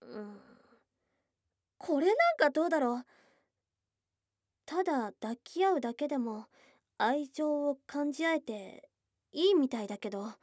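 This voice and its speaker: A woman voicing a shy young goblin girlfriend in an intimate ASMR voice drama, blunt and tomboyish in wording but flustered and tender, with breathy murmurs close to the mic. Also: high-pitched, lively intonation, a little slow, pauses often, a little mellow, dry recording. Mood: hesitant, curious. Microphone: close ASMR microphone.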